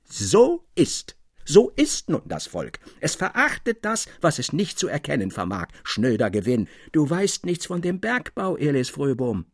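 A man's voice: on the high side, huge pitch swings, measured pace, no room sound, studio quality, full character voice. A man voicing a stern, persuasive old miner who speaks in a solemn, emphatic, old-fashioned way in an audio story. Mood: indignant, proud. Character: old male miner, stern, persuasive, fervently proud of mining.

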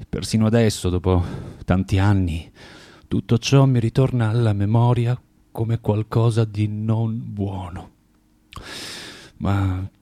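An actor playing a bitter, proud, self-loathing recluse in a theatrical radio drama, with a volatile, declamatory and halting delivery. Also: male voice, medium pitch, dark tone, natural voice. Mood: somber, regretful.

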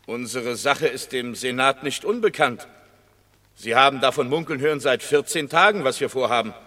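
Sharp, defiant Roman rebel commoner. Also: male voice, mid-range voice, normal speed. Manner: blunt, challenging, sardonic. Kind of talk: classical German stage-style radio drama acting. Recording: long reverberant hall.

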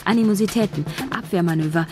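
An actress playing a shy young woman scriptwriter, narrating her own story in a quiet, earnest first-person voice. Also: lowish voice, fast, lightly acted. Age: young adult. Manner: quiet, earnest inner monologue. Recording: studio radio play over music.

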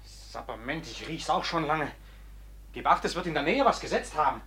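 An actor playing a rough, agitated robber who barks exclamations in a classic radio drama. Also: male voice, a little high, expressive, moderate pace, pushed and bright. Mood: alert.

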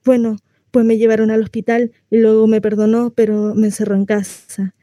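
Mellow, chatty housewife played by a woman, confiding. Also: a little high, flat delivery, normal speed, no echo, studio quality, lightly acted. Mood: breathless recounting. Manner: confiding, rambling, conversational storytelling with rhetorical questions. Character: housewife shut in at home, chatty, naive, confiding in a neighbour. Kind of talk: dramatic monologue on radio.

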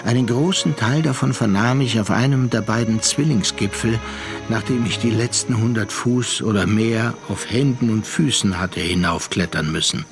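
A man narrating a literary radio drama with measured, deliberate phrasing and pauses. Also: fairly low pitch, over music.

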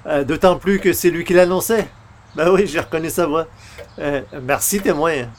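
A man playing an excitable, geeky newspaper columnist in an old-style radio comedy, theatrical and exclamatory. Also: on the high side, normal speed, natural voice. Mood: disappointed, earnest. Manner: theatrical, exclamatory, muttering to himself.

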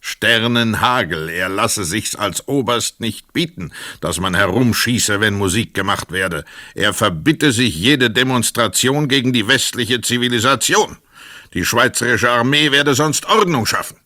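An actor narrating a crime story in a radio play in a measured, precise manner. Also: male voice, medium pitch, bright, forceful tone, no echo, clean studio sound.

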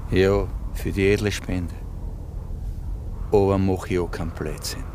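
An actor playing a domineering, sarcastic hijacker with an Austrian accent and colloquial dialect in a radio drama. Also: male voice, deep voice, fairly even intonation, measured pace, darkish tone, a little hiss or hum. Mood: bossy, sarcastic. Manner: bossy, sarcastic, lecturing. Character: domineering hijacker, a conspiracy-minded man holding two hostages.

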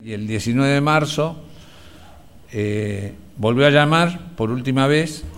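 An Argentine man giving spoken testimony for a documentary, haltingly, often searching for his words. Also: mid-range voice, fairly bright tone, clear, resonant tone. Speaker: interviewee, relative giving testimony.

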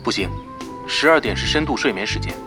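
A man's voice: medium pitch, lively intonation, a little fast, natural voice. An actor playing a stern, rigidly punctual male rival gamer, curt and precise, in a radio drama. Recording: music bed behind voice.

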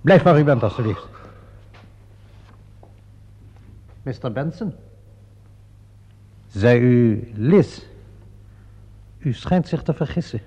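An actor playing a calm, probing police inspector in a Dutch radio play, questioning politely and methodically. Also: male voice, medium pitch, slow pace, long pauses, slightly rough, dry recording. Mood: controlled, probing. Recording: dull, lo-fi old radio recording.

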